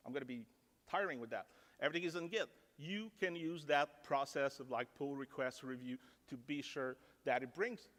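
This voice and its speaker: A man's voice: on the high side, fairly bright tone. French-speaking Canadian man in a reverberant hall. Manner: casual, self-deprecating, filler "like". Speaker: conference speaker. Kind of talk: technical conference talk. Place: large conference ballroom. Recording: reverberant conference ballroom.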